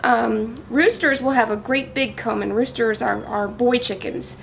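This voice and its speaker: High-pitched woman talking to young children. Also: measured pace, creaky, slight background noise. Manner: simple teacherly talk with questions to young listeners. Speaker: farmer reading a story to young children. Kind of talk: children's storybook read-aloud and farm show-and-tell.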